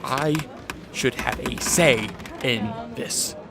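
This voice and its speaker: A man acting in a radio drama as a solemn storyteller and an uneasy vampire, speaking in a measured way. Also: mid-range voice, creaky, background noise. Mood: tense, irritable. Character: Dracula, uneasy, irritable male vampire, also narrator. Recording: music bed behind the voice.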